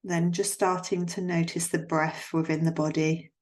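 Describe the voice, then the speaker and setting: A woman guiding a yoga nidra relaxation in a soothing, gently instructing manner, leaving long pauses between phrases. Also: on the low side, level tone. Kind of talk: guided relaxation meditation.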